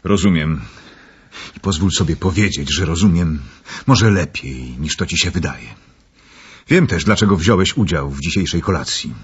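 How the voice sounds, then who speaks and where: A low-voiced, very husky young gentleman in a radio play. He is polite and restrained, and the sound is a little dull. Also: animated, normal speed, full character voice. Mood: guarded. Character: reserved, loyal young gentleman nephew. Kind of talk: radio drama acting. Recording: dull, muffled recording.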